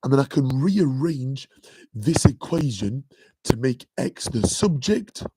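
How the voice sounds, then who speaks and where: A man's voice: expressive, takes it slowly, noisy background. A man teaching a live maths revision lesson, chatty and filling his talk with "okay" and "guys". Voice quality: deep voice. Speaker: maths tutor.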